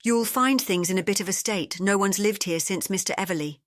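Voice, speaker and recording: A woman's voice: medium pitch, fairly quick, no room sound, clean studio sound, natural voice. A woman playing a watchful landlady in an audio drama, matter-of-fact and clipped, with a faintly knowing air. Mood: guarded, knowing. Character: brisk, watchful English landlady of an old block.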